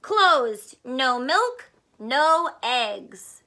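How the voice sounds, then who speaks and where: Storybook cow on strike, read by a woman: high, bright, politely firm, deliberate in its demands. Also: sing-song, heavily acted. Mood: firm, defiant. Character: striking farm cows, firm, politely demanding letter-writers.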